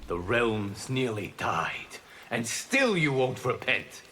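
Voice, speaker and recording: An actor playing a calm, solemn, merciful guardian god in a video game, speaking in a measured, formal, earnest way. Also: male voice, mid-range voice, slight background noise, full character voice. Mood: stern.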